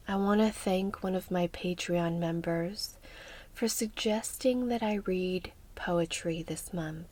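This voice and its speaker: Slow, soft-spoken woman in breathy ASMR. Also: fairly low pitch. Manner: gentle, slow, measured, soothing. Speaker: ASMR host.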